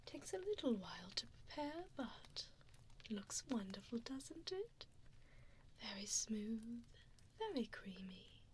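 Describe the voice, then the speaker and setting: A woman playing an evil, condescending female vampire in an ASMR roleplay, whispering breathily and close to a binaural microphone with soft, teasing menace. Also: on the high side, slight background noise. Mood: focused, pleased. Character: evil mean female vampire preparing a human as meal. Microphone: close binaural 3Dio microphone.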